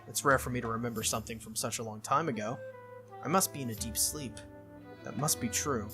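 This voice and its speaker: Brief: male let's-play reader, earnest and steady, bright forceful tone, faint game music behind. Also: mid-range voice. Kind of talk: reading visual novel narration aloud.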